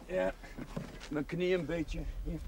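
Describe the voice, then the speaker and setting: Young chaplain, noisy soundtrack. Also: male voice, fairly high pitch, fairly even intonation, slow pace, gravelly, darkish tone. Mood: polite, subdued. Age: young adult. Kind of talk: television drama acting. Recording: noisy outdoor 1970s TV soundtrack.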